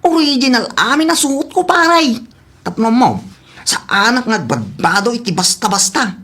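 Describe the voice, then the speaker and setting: A woman's voice: fairly high pitch, lively intonation, moderate pace, a bit bright, breathy edge. A woman playing a giggly, boastful, flashy male buddy in a comedy audio drama.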